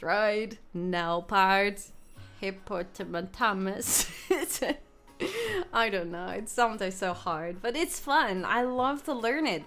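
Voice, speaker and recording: A young woman from the Netherlands with a Dutch accent, chatting excitedly and giggling on a gaming live stream as she reads out viewers' comments. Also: mid-range voice. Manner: excitable, giggly, reading chat aloud. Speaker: gaming livestreamer. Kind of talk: casual live stream chat.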